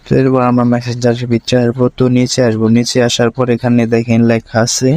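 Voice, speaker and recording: A man walks through a tutorial one step at a time. His medium-pitched voice stays very even, with little melody. He repeats each step as he moves to the next, and the recording is dry, with no room sound. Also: quick pace, no room sound. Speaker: tutorial presenter.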